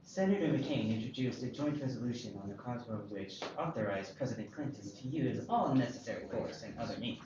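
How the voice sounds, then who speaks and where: A man's voice: mid-range voice, animated, brisk, hardly any pauses, pitched below the performer's own voice, natural voice. A man playing a formal television newsreader at a screenplay table read. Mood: brisk, formal. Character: male television newsreader reporting war news. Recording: very echoey, muffled, distant, noisy.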